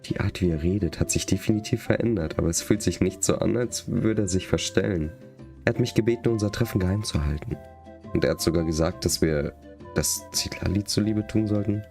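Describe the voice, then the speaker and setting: A man's voice: sits low, brisk, gravelly, darkish tone. A man doing casual livestream commentary on a game, with wry joking asides and some dialogue read aloud. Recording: game music behind the voice.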